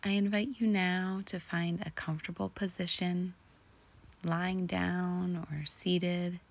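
A woman narrating a guided imagery relaxation in a soothing, deliberate, breathy voice that often sinks close to a whisper. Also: fairly low pitch, little pitch movement. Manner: soothing, slow and deliberate with long pauses. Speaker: guided meditation host.

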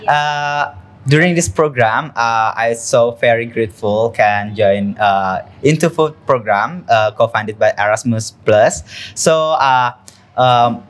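A young man from Indonesia speaking haltingly about his program and searching for words, holding a handheld mic. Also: mid-range voice, fairly bright tone. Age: young adult. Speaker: student participant. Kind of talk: casual testimonial.

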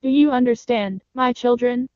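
A woman voicing a caring, cautious mother goat in a storybook, with a soft, gentle voice. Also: fairly high pitch, moderate pace, clear, resonant tone, no echo, lo-fi sound, voice pushed up, lightly acted. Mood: caring, warning.

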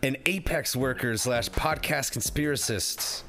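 A man's voice: mid-range voice, unhurried, sharp tone. A man hosting a casual, comedic movie review in a jokey way, with some theatrical announcing. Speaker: review show host. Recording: music playing behind the voice.

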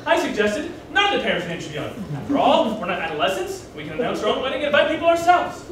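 High-pitched frazzled groom ranting, acted by a man. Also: big pitch swings, racing. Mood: exasperated. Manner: exasperated, melodramatic, emphatic. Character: frazzled, hard-done-by groom ranting about his wedding. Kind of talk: theatrical stage monologue. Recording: echoey room, distant mic, faint noise.

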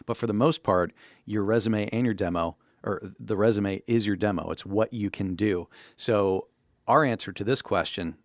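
A man's voice: fairly low pitch, moderate pace, dry recording. A man co-hosting a podcast, explaining things in an informal, conversational way into a close studio microphone. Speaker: podcast co-host. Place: small indoor podcast studio. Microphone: close boom-arm studio microphone.

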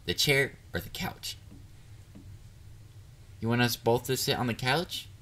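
A man's voice: mid-range voice, a little slow, sharp tone. A man playing a bubbly, bashful catboy boyfriend in an intimate roleplay audio drama, dorky and easily flustered. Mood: cheerful, affectionate. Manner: playful, dorky, flustered, hesitant. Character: bubbly, bashful young male catboy partner.

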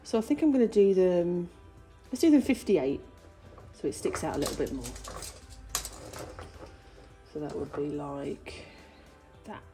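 Woman, DIY vlogger, low-ish voice, chatty hands-on tone, recorded on a handheld camera in a home hallway. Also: a little slow, pauses often, dark tone, faint music behind. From United Kingdom. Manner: chatty, thinking aloud while working. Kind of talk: casual hands-on DIY tutorial. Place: indoor hallway and staircase at home. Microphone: handheld phone or camera filming her hands.

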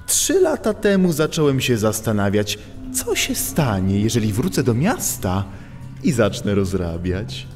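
A cocky animal master thief in a children's audio drama. He is smug and mocking, stretching words slowly with lively swoops. His voice rings in a long reverb over music. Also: on the low side. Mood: mock-friendly. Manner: smug, mocking, drawn-out theatrical delivery.

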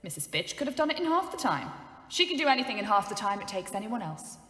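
A woman's voice: medium pitch, big pitch swings, fast talker, fairly bright tone. An actress playing a sarcastic ambulance driver who mocks her superior in an audio drama. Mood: sardonic. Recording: very echoey, long reverb.

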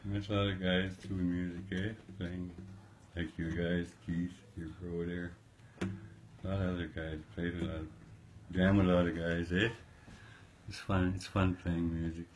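A man in his fifties speaks in a low, deep voice, soft and unhurried, answering in short phrases that often trail into "eh". It sounds like a phone recording in a small room at home, with a faint steady hiss underneath. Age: 50s. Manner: soft-spoken, short replies, tags "eh". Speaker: musician interviewee. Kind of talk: casual interview chat. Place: small indoor room at home, playing guitar.

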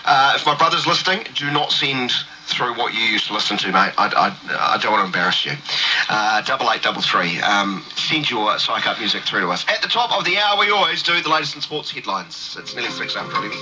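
A man's voice: fairly low pitch, lively intonation, a little fast, bright, forceful tone, a little hiss or hum, poor audio. A man with a New Zealand accent presenting sports radio talk, heard through an old radio speaker. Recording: AM radio played in a room, music underneath. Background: music.

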